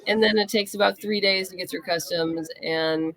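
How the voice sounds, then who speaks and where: A woman's voice: fairly low pitch, fairly even intonation, normal speed, fairly bright tone, low-quality recording. A woman speaking as a guest in a casual interview, candid and explanatory. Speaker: interview guest, comic writer and novelist.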